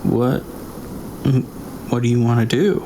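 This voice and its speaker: A man's voice: medium pitch, a little slow, natural voice. A man voicing a nervous young male scout who answers hesitantly and stammers. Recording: noisy recording with gravelly texture.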